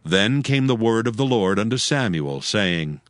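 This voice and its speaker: A male narrator reads scripture as scripted drama. His voice is fairly low with animated, wide-ranging intonation, and faint music runs behind it. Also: a little slow.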